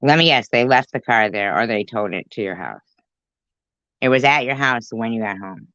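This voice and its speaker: Very deep-voiced sarcastic woman. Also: animated, normal speed, a bit bright, dry recording. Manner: blunt, sarcastic, profane. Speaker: commentary host. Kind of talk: reaction commentary. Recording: dull lo-fi recording.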